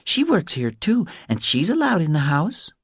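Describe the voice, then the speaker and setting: Audiobook role: man playing small girl, sweet but insistent, childlike, high and very animated delivery. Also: normal speed, breathy edge, no echo, clean studio sound, heavily acted. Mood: pleading. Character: sweet, insistent little girl wanting a goodnight kiss.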